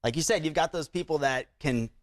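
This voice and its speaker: A man's voice: fairly high pitch, fairly quick, no room sound, clean studio sound. A man speaking as a doctor in a conversational, promotional TV interview, explaining things with frequent check-ins. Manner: explanatory, uses rhetorical check-ins like "right?" and "okay?".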